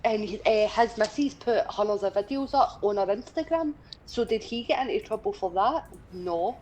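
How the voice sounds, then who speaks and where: A Scottish woman in her twenties talks casually as a co-host on a gaming stream. She is blunt, opinionated and sweary, with a mid-pitched voice over light background noise. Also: animated, normal speed, a little mellow, low-quality recording. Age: 20s.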